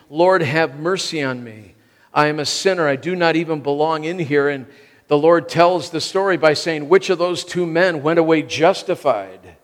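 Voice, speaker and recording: American guy in his 60s preaching, slightly high voice, punchy short phrases, big reverby hall. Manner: emphatic short phrases, repeating for emphasis. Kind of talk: sermon to a congregation. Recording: long reverb of a large hall.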